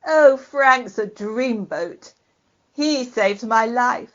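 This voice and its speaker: A woman's voice: on the high side, very slow, fairly bright tone. A woman in an amateur radio play, playing a tipsy, flirtatious, well-off widow with a gushing manner. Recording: dull telephone conference line.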